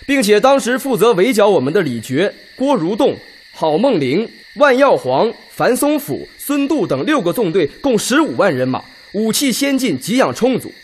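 A man playing a forceful, sceptical Red Army officer in a radio drama, with emphatic, declamatory delivery. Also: high-pitched. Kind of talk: Chinese radio drama acting.

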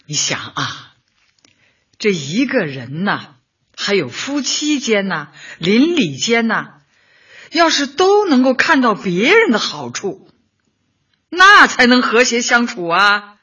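An actress playing a warm, encouraging older woman community leader who guides with gentle questions in a Chinese radio drama. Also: a little high, very animated, takes it slowly, slightly rough, muffled recording, heavily acted. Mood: earnest. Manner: persuasive, rhetorical questions, gently guiding.

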